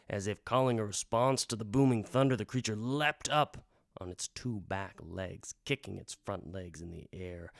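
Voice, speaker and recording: A low-voiced man narrates like a wandering bard in a radio drama. His delivery is unhurried and theatrical, with folksy, florid phrasing that drops into hushed emphasis. The voice has a gravelly fry and sounds close and dry. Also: dry recording. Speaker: host, storytelling bard. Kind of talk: theatrical first-person fantasy storytelling, radio drama.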